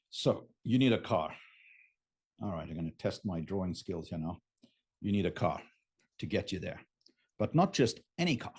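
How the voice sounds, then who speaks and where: A man whose native language is German, with a husky voice, teaching business in an informal, emphatic, unscripted way and often checking in with the listener. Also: on the low side, a little mellow. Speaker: business coach hosting a live session. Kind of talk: unscripted teaching talk with drawn illustrations.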